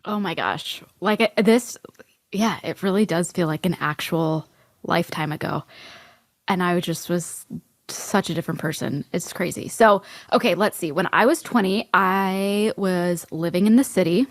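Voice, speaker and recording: A woman in her early thirties co-hosting a podcast, chatting casually with lots of "like" fillers and quick laughs. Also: fairly low pitch, dry recording. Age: 30s. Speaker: podcast co-host. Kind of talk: casual chat.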